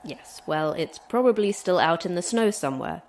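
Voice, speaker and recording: A woman's voice: fairly low pitch, animated, moderate pace, darkish tone, a little hiss or hum. A woman playing an injured, stubborn fighter in an audio drama, terse, dry and defiant. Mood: dry, strained. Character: injured, concussed, stubborn female fighter trapped by a monster.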